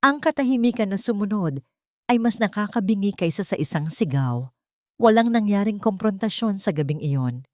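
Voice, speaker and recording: A woman's voice: medium pitch, animated, moderate pace, no room sound, clean studio sound. A woman reading a scripted first-person dramatic story as an audiobook narrator.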